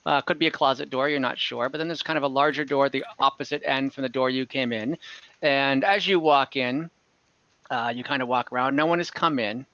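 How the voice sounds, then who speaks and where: A man acting as game master, casually narrating a scene to friends and feeling for his words in a halting way, over an online voice chat. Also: medium pitch, bright, forceful tone, dry recording, dull audio. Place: online group voice call.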